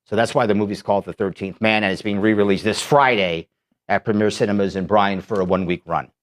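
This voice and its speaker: A man's voice: fairly low pitch, fairly even intonation, fast. A man from Manhattan, a documentary filmmaker, talking as a guest in a studio and telling stories in a chatty way. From Manhattan, New York. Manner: chatty, storytelling, laughs easily. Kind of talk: casual studio interview. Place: radio-style studio.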